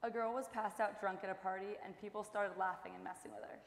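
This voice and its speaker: A woman's voice: medium pitch, steady tone, fast, vocal fry, natural voice. A young woman playing a shaken college student recounting incidents in a staged monologue. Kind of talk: staged readers theater monologue. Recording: very echoey hall.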